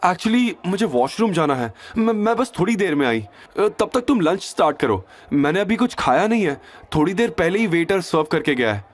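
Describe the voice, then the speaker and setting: A man's voice: high-pitched, very animated, moderate pace, few pauses, voice pushed up. A man voicing a flustered young woman. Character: young woman, hurried and evasive.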